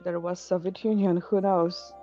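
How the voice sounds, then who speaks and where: A woman from Poland with a Polish accent, talking slowly and haltingly with many restarts in casual chat on a game stream. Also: lowish voice, level tone, over music. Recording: dull lo-fi stream audio.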